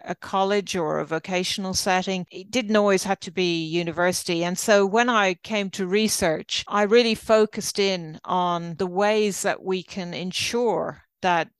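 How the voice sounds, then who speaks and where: A woman's voice: lowish voice, smooth, no room sound. A middle-aged woman with an Irish accent, a professor reflecting on her work as an interview guest. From Ireland. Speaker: guest, university professor and researcher.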